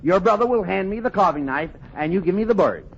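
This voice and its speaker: A high, gravelly man as a bossy, exasperated uncle, scolding with emphasis in a 1940s radio comedy. The recording is noisy and lo-fi. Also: animated, quick pace. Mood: bossy, impatient.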